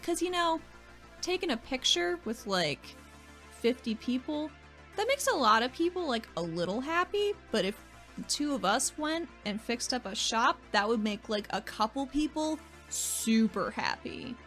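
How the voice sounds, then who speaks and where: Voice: high voice, sing-song, a little slow, bright, forceful tone, faint music behind, heavily acted. A woman playing a friendly, persuasive female teammate in a tabletop game, coaxing in a casual way. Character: Sedaris, friendly coaxing woman who flatters her teammate.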